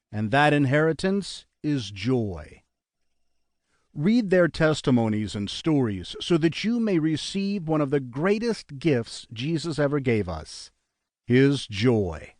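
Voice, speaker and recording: A man narrating an audiobook in a measured, earnest reading style, close to a studio mic. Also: mid-range voice, big pitch swings, darkish tone, no echo. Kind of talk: scripted narration.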